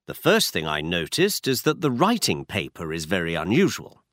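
A man's voice: low-pitched, huge pitch swings, normal speed, a bit bright, dry recording, clean studio sound, pitched below the performer's own voice, exaggerated voice. A man voicing a careful, earnest doctor who is the detective's friend. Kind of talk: audiobook character reading.

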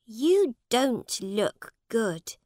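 A woman playing an anxious young court lady with a high, fretful voice. She speaks slowly and with concern, in a dry, close storybook recording. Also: very animated, warm tone, no room sound, exaggerated voice. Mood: worried. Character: young noble lady, worried and caring. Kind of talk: storybook reading.

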